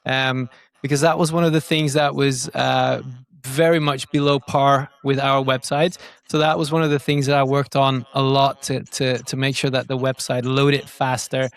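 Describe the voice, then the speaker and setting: A man's voice: mid-range voice, level tone, normal speed, vocal fry, clear, resonant tone, dry recording. A man explaining things in casual interview talk, repeating words as he thinks them through. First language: Norwegian is not native; speaks it brokenly. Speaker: digital growth marketer, podcast guest.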